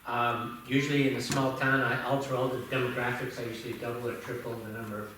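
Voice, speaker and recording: A man from Indonesia, a visiting development consultant, giving a measured and formal public address to a city council. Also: medium pitch, flat delivery, a bit bright, slight background noise. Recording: distant voice in echoey council chamber.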